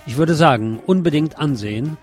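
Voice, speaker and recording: A man's voice: fairly high pitch, lively intonation, moderate pace, darkish tone, faint music behind. A man giving a personal, opinionated film review in a conversational radio commentary style.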